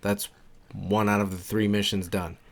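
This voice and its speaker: A man's voice: lowish voice, level tone, moderate pace, creaky. A man giving casual, instructional walkthrough commentary for a video game, informal and repeating his key points. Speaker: game guide creator and narrator.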